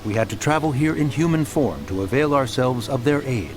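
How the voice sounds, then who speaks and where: A proud, refined ancient immortal from a video game, played by a man, touchy and lofty. His formal, archaic speech rises and falls expressively at a medium pitch over music. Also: animated, a little fast, natural voice. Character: proud, touchy, refined ancient male adeptus in human form. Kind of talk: English video game dub acting. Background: background music.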